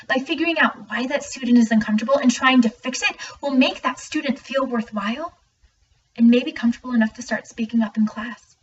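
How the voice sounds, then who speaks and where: A young woman acts out an earnest, emphatic student in a dramatic monologue, in a fairly high voice. The recording is a little muffled and distant. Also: fairly bright tone, close to an everyday voice. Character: earnest student speaking out about teachers. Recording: muffled, distant mic, faint noise.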